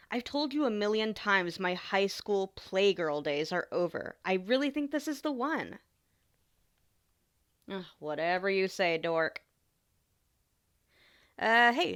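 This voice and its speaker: A woman plays a young adult big sister in a casual ASMR roleplay. Her voice sits at a middling female pitch, and she talks informally to her younger sibling, playful, protective and candid. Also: a bit bright. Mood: teasing, warm. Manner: playful, informal, sighs and small laughs. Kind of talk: ASMR roleplay, casual one-sided chat to the listener.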